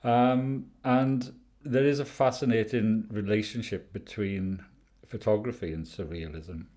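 A man's voice: fairly low pitch, fairly even intonation, measured pace, dark tone. A Welsh man interviewing a guest, speaking in a hesitant way with frequent fillers and restarts. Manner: hesitant, many uhs and restarts. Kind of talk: conversational interview.

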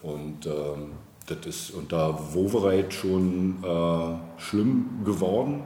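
A man's voice: deep voice, slow, mellow. A man being interviewed as a politician, speaking conversationally, hesitantly and wordily as he searches for words. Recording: roomy, echoing, with background noise.

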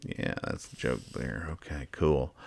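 A man hosting a casual podcast, talking through comic strips in a halting, mock-analytical way and reading out the dialogue. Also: extremely low pitch, vocal fry, darkish tone. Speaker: podcast host. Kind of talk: casual chat, describing comic strips and explaining the jokes.

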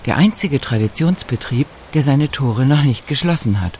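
A man reading scripted narration for a radio documentary feature in a calm, measured way. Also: mid-range voice, a little hiss or hum.

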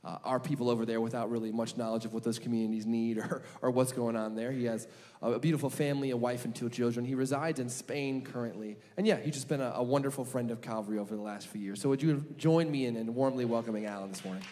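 A man introducing a guest preacher to his congregation in a friendly, brisk way. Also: mid-range voice, steady tone, dark tone. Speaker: church leader introducing the speaker. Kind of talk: announcement. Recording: long church reverb.

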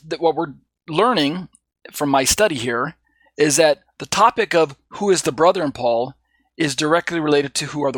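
A man's voice: on the high side, a bit bright, dry recording. A middle-aged man teaching an informal Bible study lesson, stumbling over words with plenty of fillers and apologizing for his own mistakes. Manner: self-deprecating, stumbling over Greek readings, frequent fillers.

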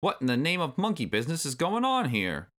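Storybook role: man performs rough, scolding old granddad ape, bright and forceful, lifted pitch. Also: huge pitch swings, brisk. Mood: indignant. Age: older. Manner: grumbling, exclamatory old-timer phrasing.

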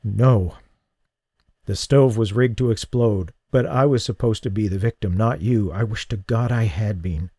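A man's voice: lowish voice, a little slow, dark tone, husky, no room sound, clean studio sound. A man voicing a guilt-ridden man who speaks in a choked, earnest way to someone he loves in an audiobook. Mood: remorseful. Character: guilt-ridden grown man, remorseful and tender.

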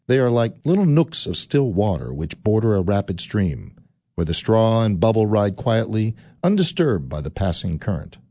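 A man narrating a spooky old tale in a measured, expressive storytelling manner for a radio drama. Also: on the low side, no room sound, clean studio sound.

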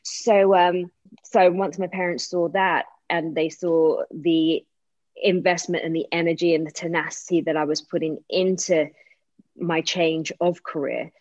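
A woman from England, an actor telling her story as an interview guest in chatty, animated bursts full of fillers and restarts. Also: deep voice, no room sound. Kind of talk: casual interview chat. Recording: dull lo-fi recording.

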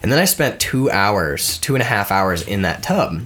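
A man's voice: lowish voice, very animated, moderate pace, fairly bright tone. Congested young man telling a gross story. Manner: rambling, laughing storyteller. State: congested from a cold. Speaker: podcast co-host. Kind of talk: casual chat.

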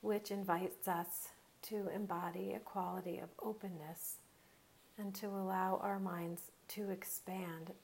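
Woman, meditation guide, mid-pitched, very slow pace, soothing delivery, long pauses. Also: flat delivery, gravelly, darkish tone, breathy edge.

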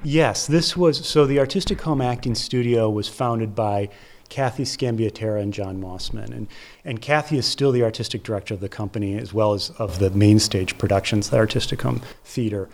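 A man's voice: on the low side, moderate pace. A man with an American accent giving conversational, explanatory interview answers as a theater producer, stressing points with short repeated phrases. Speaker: theater festival producer and curator, interview guest.

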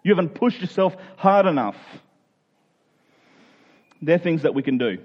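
A man's voice: on the high side, expressive, measured pace, muffled recording. A man preaching a conversational Bible sermon in an earnest way, posing rhetorical questions and voicing imagined objectors. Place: speaking live to a congregation.

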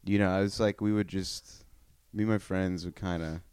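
A man's voice: low voice, fairly even intonation, moderate pace, a little mellow, smooth, no echo. A young man from North Carolina, a comedian guest telling rambling, laid-back stories in a casual podcast chat. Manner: rambling, laid-back storytelling with many fillers.

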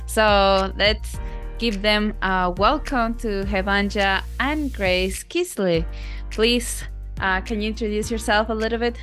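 A woman's voice: medium pitch, slow pace, faint music behind. A woman hosting a podcast, welcoming guests and asking questions in an upbeat way. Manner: upbeat and warm, with some fillers. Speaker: podcast host.

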